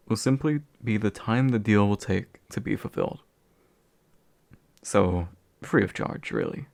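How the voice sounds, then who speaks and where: Young man playing a low-voiced, courteous demon dealmaker. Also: vocal fry, dry recording. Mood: tender, warm. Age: young adult. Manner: formal, soothing, hesitant at times. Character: courteous male demon of envy, gentle dealmaker. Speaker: voice actor. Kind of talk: soft-spoken ASMR roleplay acting.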